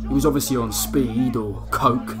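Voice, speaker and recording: An actor playing a rough, cocky young bare-knuckle fighter, telling his story in chatty, matey slang. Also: medium pitch, fairly even intonation, normal speed, loud music behind the voice, close to an everyday voice. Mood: uneasy, candid. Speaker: actor in an audio drama. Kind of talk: chatty first-person dramatic storytelling.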